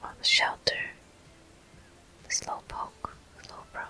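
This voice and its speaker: A woman hosting a gameplay video in ASMR style, murmuring softly and gently as she comments. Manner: soft, gentle, unhurried murmuring. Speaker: gameplay host.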